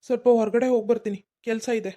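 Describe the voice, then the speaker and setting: A woman's voice: a little high, steady tone, dark tone, lightly acted. A woman voicing an evasive young man who brushes off his mother, in a cartoon. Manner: hurried, brushing off questions.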